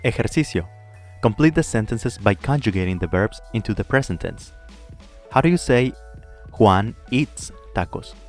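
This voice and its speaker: A man with a fairly low voice leads a Spanish lesson, saying each phrase carefully and pausing so the learner can repeat. Faint background music plays under his voice. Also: lively intonation. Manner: clear, slow model pronunciation with pauses to repeat. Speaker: Spanish teacher. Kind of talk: language lesson with drills.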